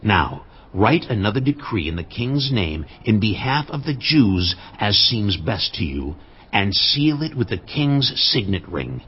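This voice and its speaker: An actor playing a commanding, hot-tempered Persian king in a dramatized audio Bible, with slow, weighty, imperious delivery. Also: fairly low pitch, very animated, breathy edge, heavily acted. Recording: dull lo-fi audio with music behind.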